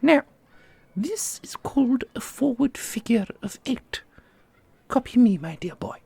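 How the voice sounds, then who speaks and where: A woman playing an ancient custodian and combat mentor in an ASMR roleplay, doting and sharply sarcastic, with a husky, creaky voice. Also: on the high side, expressive, a little slow, warm tone, no echo, heavily acted. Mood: doting, instructive. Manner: theatrical, teasing, instructive. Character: millennia-old custodian mentor, doting on pupil, witheringly sarcastic.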